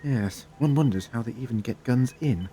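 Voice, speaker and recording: An actor playing a wry, calm, authoritative adventurer in an audio drama, speaking in a measured, gently probing way. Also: male voice, medium pitch, natural voice. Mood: wry, reassuring.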